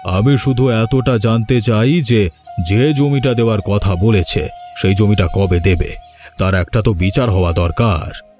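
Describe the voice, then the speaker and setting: A man playing a proud, domineering village landlord in a cartoon drama, speaking with deliberate authority. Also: on the low side, over music, close to an everyday voice. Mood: firm, measured. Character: stern, domineering village landlord, male, proud but remorseful. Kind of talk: Bengali cartoon drama dialogue.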